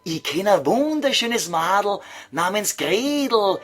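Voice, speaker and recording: A man's voice: high-pitched, very animated, slow pace, fairly bright tone, exaggerated voice. A man voicing a sly, crude devil who coaxes and mocks in Viennese dialect, in an audio comedy sketch. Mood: coaxing, mocking. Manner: coaxing, vulgar, mocking.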